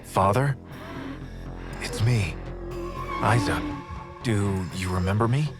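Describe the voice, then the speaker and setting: An anxious young son, lowish in pitch and slow to speak. He sounds tender and pleading in a game drama, with music behind him. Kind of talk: dramatic video game acting. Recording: voice over prominent music.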